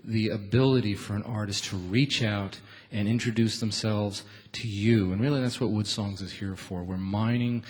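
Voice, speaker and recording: A man's voice: on the low side, fairly even intonation, moderate pace, a little mellow, slightly rough. A man hosting a live radio show on stage, addressing the audience in an earnest, emphatic way. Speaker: radio show host and folk singer. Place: on stage in a theatre.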